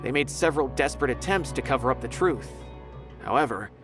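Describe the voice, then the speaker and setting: A man's voice: medium pitch, animated, brisk, lightly acted. An actor playing a polite scholarly official in a game, courteous and explanatory as he recounts events. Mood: calm. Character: polite scholarly man, calm and informative. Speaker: academy official. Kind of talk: game dialogue acting. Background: game music.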